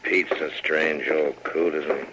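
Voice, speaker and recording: Western marshal, American, deep voice, unhurried temper, few words, noisy old radio drama. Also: male voice, flat delivery. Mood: calm, curious. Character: calm, laconic frontier town marshal.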